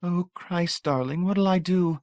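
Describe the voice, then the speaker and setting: An anxious young woman, earnest and pleading, her voice lifted high, as a male audiobook reader plays her. A dry, close storybook reading. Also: a little slow, a little mellow, no room sound. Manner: raised pitch, earnest and pleading.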